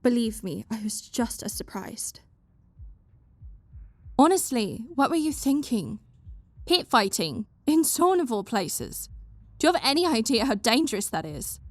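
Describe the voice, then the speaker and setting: A woman's voice: a little high, big pitch swings, a little slow, no room sound. A woman voicing a stern, bossy but caring young woman who scolds someone she cares about. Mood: scolding. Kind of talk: fan audio roleplay.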